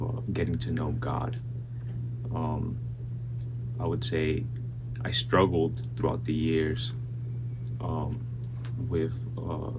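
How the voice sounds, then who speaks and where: Super deep guy telling his faith story, halting and earnest, steady hum behind him. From Dominican Republic. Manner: earnest, halting, soft-spoken. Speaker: church member giving testimony. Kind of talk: personal faith testimony.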